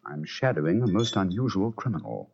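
An actor playing a brilliant, self-assured detective in a 1940s-style radio drama, crisp and explanatory. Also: male voice, low voice, very animated, brisk, a little mellow, full character voice. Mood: confident. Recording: dull vintage radio recording.

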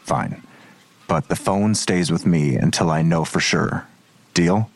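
Gravelly, husky, sardonic male detective. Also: sits low, slow, exaggerated voice. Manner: dry, skeptical, blunt. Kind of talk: naturalistic audio drama acting.

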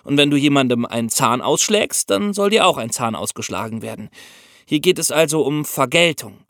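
Male co-host for an explainer podcast, didactic, highly animated intonation, dry close studio sound. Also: moderate pace, no room sound. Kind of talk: explanatory podcast talk for young listeners.

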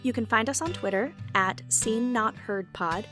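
A woman hosting a podcast, talking casually in an animated way with frequent restarts and exasperated asides. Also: fairly high pitch, moderate pace, soft background music. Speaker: podcast host. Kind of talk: casual conversation.

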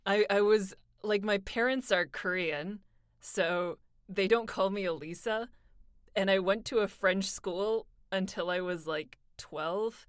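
A woman's voice: mid-range voice, slow, clear, resonant tone, dry recording, studio quality. A woman voice actor from Canada, chatting casually and easily on a remote call, often filling with "like". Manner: relaxed, chatty, uses "like" often. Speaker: voice actor guest. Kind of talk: casual video-call chat.

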